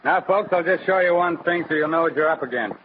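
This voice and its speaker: An actor playing a brazen, smugly menacing gang boss giving orders in a 1940s radio crime drama. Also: male voice, on the high side, fast, lightly acted. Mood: cool menace. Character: brazen male gang boss, cool and menacing bank robber. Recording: muffled, noisy old radio recording.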